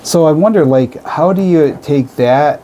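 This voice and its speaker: A man's voice: fairly high pitch, a little slow, mellow, a little hiss or hum. A man in his fifties or older hosting a conversational radio interview, rephrasing his questions as he goes. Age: 50s or older. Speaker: radio show host interviewer.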